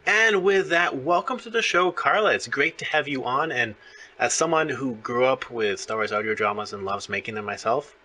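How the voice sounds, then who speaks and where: A man's voice: medium pitch, animated, moderate pace, sharp tone, slight background noise. A man hosting a podcast interview, chatting casually in a friendly, enthusiastic, somewhat rambling way.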